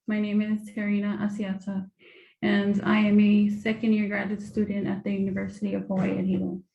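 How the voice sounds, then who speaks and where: Measured young woman from samoa, gravelly. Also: medium pitch, completely flat delivery, warm tone. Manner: careful, measured delivery with occasional ums. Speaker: graduate student presenter. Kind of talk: formal academic presentation. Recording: dull, roomy recording.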